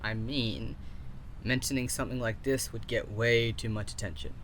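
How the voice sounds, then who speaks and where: A man playing a curious and careful researcher in an audio drama. He speaks at a middling male pitch, hesitating as he reasons things out. There is noticeable ambient noise behind him. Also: fairly even intonation, normal speed, a bit bright, close to an everyday voice. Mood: cautious. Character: curious, cautious male researcher and code developer, encouraging. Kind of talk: audio drama roleplay acting.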